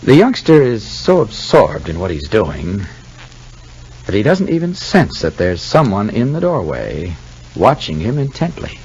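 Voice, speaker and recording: A man narrating and announcing in 1950s radio soap style, with deliberate, dramatic, emphatic phrasing. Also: on the low side, wildly swooping pitch, a little mellow, husky, dull audio.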